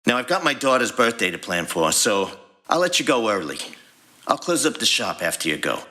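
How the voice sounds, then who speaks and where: A man's voice: lowish voice, big pitch swings, a little fast, bright, forceful tone. A man voicing a kindly old otter who runs a garage and works as a mechanic, in acted audio fiction. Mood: warm, grateful.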